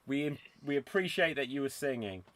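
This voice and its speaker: A man's voice: medium pitch, lively intonation, moderate pace, a loud crowd. A man hosting a live-streamed improv game over a video call, bubbly and teasing, and often laughing. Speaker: improv show host.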